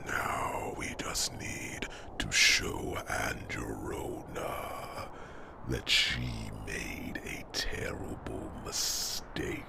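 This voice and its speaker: Ancient protective dragon voiced by a man, hushed, gravelly, deep, solemn. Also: fairly even intonation, heavily acted. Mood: solemn whisper. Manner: slow solemn whispering, addresses listener as little dragon. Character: ancient wise male dragon mentor, protective. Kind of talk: ASMR fantasy roleplay.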